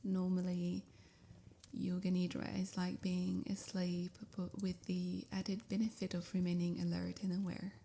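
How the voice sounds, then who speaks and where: A woman softly guiding a sleep meditation in a breathy near-whisper, with soothing, measured phrasing. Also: fairly low pitch, monotone, a little hiss or hum. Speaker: meditation guide. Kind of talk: guided sleep meditation, scripted.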